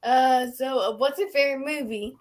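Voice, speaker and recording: A woman's voice: high voice, moderate pace, a little mellow. A woman co-hosting a talk show, chatting casually in short, approving reactions.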